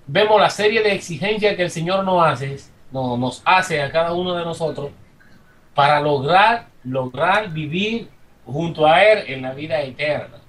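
A man's voice: a little high, a bit bright. Distant-sounding Dominican man, emphatic. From Dominican Republic. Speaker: congregant giving comments. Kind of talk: impromptu religious testimony. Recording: distant from the microphone.

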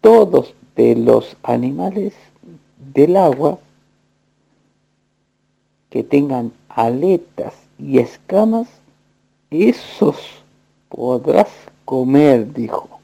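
A man's voice: medium pitch, animated, very slow, vocal fry, pauses often, dark tone, husky. A man agreeing with a fellow believer and explaining scripture on food laws.

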